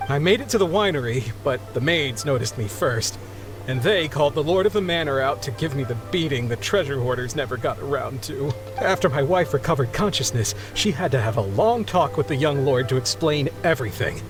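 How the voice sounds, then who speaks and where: A man's voice: mid-range voice, expressive, fairly quick, natural voice, poor audio. An actor playing an embarrassed, grateful married sea captain in a video game, flustered and apologetic as he talks. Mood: rueful. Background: background music.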